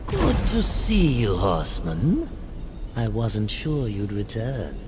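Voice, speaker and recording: An actor voicing a greedy, scheming ghoulish demon merchant in a video game, theatrical, sly and ingratiating. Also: male voice, fairly low pitch, huge pitch swings, slow pace, exaggerated voice. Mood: cryptic. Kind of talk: video game dramatic acting. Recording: long reverb, loud game music behind.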